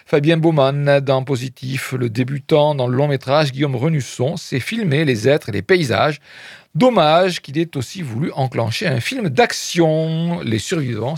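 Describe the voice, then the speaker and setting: A man's voice: on the high side, dry recording, studio quality. A man presenting film reviews on the radio, reading press quotes and adding wry, hesitant asides full of fillers.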